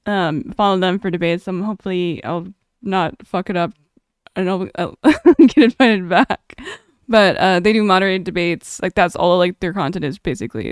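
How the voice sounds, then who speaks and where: A woman's voice: medium pitch, expressive, moderate pace, clear, resonant tone, dry recording, studio quality. A young woman streamer chatting casually, reading chat aloud and laughing often.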